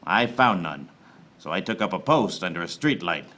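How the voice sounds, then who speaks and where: A man's voice: sits low, animated, normal speed, sharp tone, full character voice. A man playing a hapless, wisecracking private eye who narrates his case in a film noir radio parody, recorded live in a theater. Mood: wry, tense. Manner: wry, self-aware wisecracking. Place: theater stage before a live audience.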